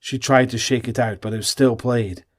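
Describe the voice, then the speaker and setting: A man's voice: mid-range voice, fairly even intonation, normal speed. A man reading scripted narration for a horror audio drama.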